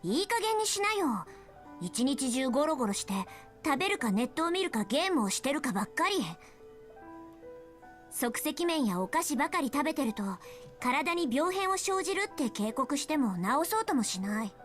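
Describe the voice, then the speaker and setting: A high, fast young female soldier voice in a Japanese visual novel. She is stern and nagging, very animated, and lectures her lazy father over background music. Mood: scolding.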